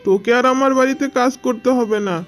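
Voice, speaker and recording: A man's voice: extremely high pitch, fast, fairly bright tone, faint music behind. A man voicing a bossy, callous tigress employer in a cartoon. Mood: scolding, dismissive. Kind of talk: cartoon dubbing.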